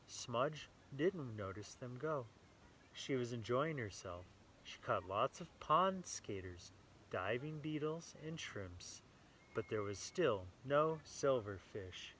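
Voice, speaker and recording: A man reading a children's story aloud with animated enthusiasm, adding casual asides to young listeners. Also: medium pitch, slow pace, slight background noise.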